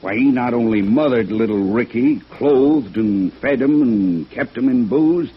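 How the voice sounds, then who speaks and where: A breezy, self-assured American gumshoe in a vintage radio detective show. He sounds husky and folksy, and the old recording is dull and lo-fi. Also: mid-range voice, a little slow. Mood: cocky. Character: cocky, breezy male private eye. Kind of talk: 1950s radio detective drama acting.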